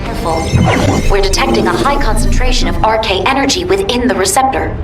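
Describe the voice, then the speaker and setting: An actress voicing a mission operator in a video game cutscene, giving briefings in a measured, businesslike way. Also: female voice, mid-range voice, lively intonation, over music, lightly acted. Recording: game audio with noise.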